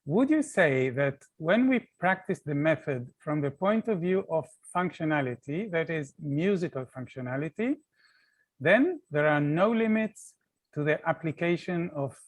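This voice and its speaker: A man hosts an online panel over a video call, his voice sitting a little high. He moves ahead thoughtfully, stumbling and repeating words before he lands on his point. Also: expressive, moderate pace. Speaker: panel host.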